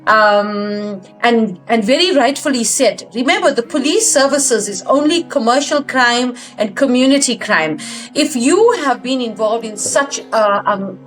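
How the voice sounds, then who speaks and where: Slightly high-voiced woman host advising listeners. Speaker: talk show host. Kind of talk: earnest advice to audience.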